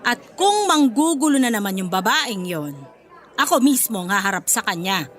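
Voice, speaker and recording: A woman's voice: medium pitch, very animated, normal speed, close to an everyday voice. A woman playing a blunt, protective middle-aged mother-in-law with a Filipino accent in a radio drama. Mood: scornful. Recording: music bed behind the voice.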